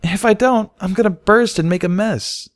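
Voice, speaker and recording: A man's voice: high voice, moderate pace, voice pushed up, lightly acted. A man voicing a distraught little unicorn filly who sobs and pleads in an audiobook story. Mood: tearful pleading.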